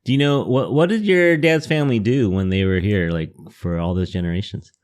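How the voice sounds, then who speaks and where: A man's voice: lowish voice, fairly quick, smooth, no echo, clean studio sound. A man hosting a podcast, asking questions casually and backing the guest with short replies. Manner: supportive, frequent brief backchannels. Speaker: podcast host. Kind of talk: casual interview chat.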